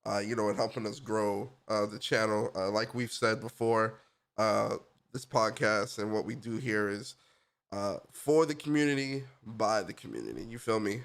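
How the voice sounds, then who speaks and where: A man's voice: on the low side, moderate pace, gravelly, a bit bright. A young man with a stuffy, congested voice from a cold, co-hosting a casual gaming podcast in a laid-back, slangy way. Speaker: podcast co-host. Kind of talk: casual chat.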